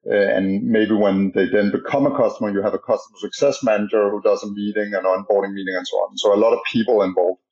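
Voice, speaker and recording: A man with a Danish accent answers interview questions in a casual, halting way, full of uhs and restarts. His voice sits fairly low. The sound is dull and lo-fi, like a remote call. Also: fairly even intonation, darkish tone. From Denmark. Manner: halting, many fillers and restarts. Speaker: podcast guest, startup co-founder.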